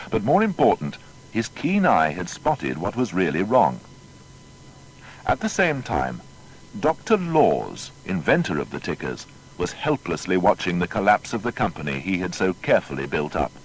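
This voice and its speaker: Deep-voiced man narrating with lively intonation. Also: poor audio. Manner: dramatic storytelling, formal. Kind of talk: scripted documentary narration. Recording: faint noise and low rumble.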